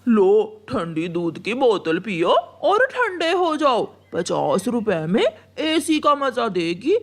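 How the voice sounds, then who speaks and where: A woman's voice: fairly high pitch, very animated, slow pace, full character voice. A woman voicing a scheming male crow in a children's cartoon. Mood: hawking. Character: scheming male cartoon crow, hawker and fake menacing eagle.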